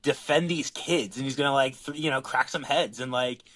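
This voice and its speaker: A man's voice: medium pitch, normal speed, a bit bright. Laid-back man, rambling podcast co-host. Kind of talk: casual podcast chat.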